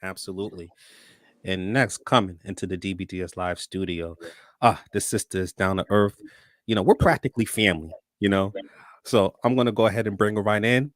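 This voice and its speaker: A man hosting a talk show, upbeat and warm with his guests, often repeating words as he starts sentences. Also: on the low side, steady tone, a little fast, no echo, studio quality. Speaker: host.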